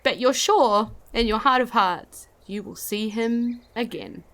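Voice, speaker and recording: A woman's voice: fairly high pitch, measured pace, a bit bright. A woman with a New Zealand accent, the game master of a tabletop podcast, thanking supporters in a chatty, giggly way with many fillers.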